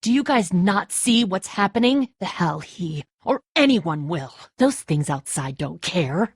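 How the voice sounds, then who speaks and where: A woman's voice: lowish voice, very animated, measured pace, slightly rough, no echo, studio quality, exaggerated voice. An actress playing a tough, stressed, protective woman who leads zombie-apocalypse survivors in a dramatic video game, speaking bluntly and with urgency. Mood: angry, defensive.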